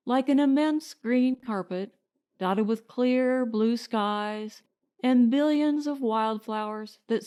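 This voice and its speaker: A woman narrating a scripted story as an audiobook reader, in a measured, deliberate manner. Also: a little high, darkish tone.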